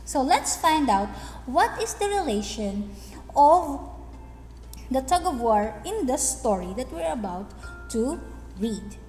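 A woman teacher explaining a lesson and reading a story in a deliberate, instructive manner. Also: high-pitched, very animated. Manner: deliberate, instructive, with check-in questions. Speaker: English teacher. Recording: echoey hall-like room, faint music behind.

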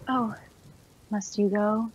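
A woman's voice: mid-range voice, fairly even intonation, slow pace, lo-fi sound, a little hiss or hum, close to an everyday voice. A woman playing an ailing, yearning young fiancée, timid and genteel, in a radio play.

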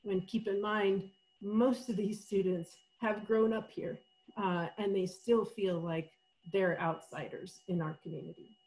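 A woman from El Salvador giving a college webinar talk over a video call, thoughtful and steady, with many fillers and frequent "right?" checks. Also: mid-range voice, measured pace, poor audio. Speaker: college professor presenting survey findings. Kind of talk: webinar presentation.

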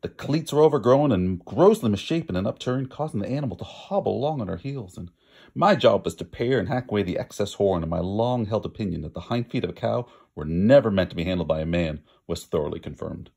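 A man's voice: on the low side, lively intonation, a little fast, creaky, dark tone, breathy edge. A man reads a picture book aloud in a theatrical way, drawing out words for emphasis. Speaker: storybook reader.

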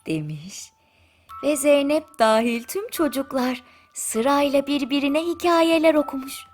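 A woman narrating a bedtime story in a soothing, gentle manner with drawn-out pauses. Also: high-pitched, expressive, slight background noise. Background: music.

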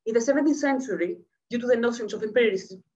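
A woman in her thirties with a Greek accent, from Greece, reading a conference paper quickly over a video call. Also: a little high, a little mellow. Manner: fast-talking, checks in with the audience. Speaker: academic speaker. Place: home room, indoors. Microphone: laptop webcam mic, video call. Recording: muffled lo-fi video call audio.

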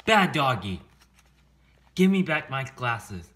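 A man's voice: medium pitch, lively intonation, slow pace, sharp tone. A man voicing an angry, self-important town mayor in a children's storybook read-aloud, blustering and pompous. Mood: outraged whining.

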